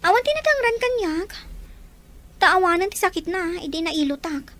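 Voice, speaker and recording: High, bright young Ilocano woman, gentle acted. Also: big pitch swings, normal speed, heavily acted. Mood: hurt. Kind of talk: Ilocano audio drama acting.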